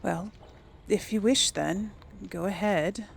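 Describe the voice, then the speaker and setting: A woman's voice: mid-range voice, takes it slowly, gravelly, slightly rough. A woman doing casual role-play commentary over a video game, musing in short asides. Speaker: gaming video host.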